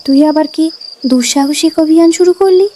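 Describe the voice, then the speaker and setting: A woman's voice: high voice, brisk, warm tone, lightly acted. A woman voicing a timid but loyal young friend in a cartoon mystery, wary and questioning. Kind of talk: cartoon voice acting. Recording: noisy track with cricket ambience.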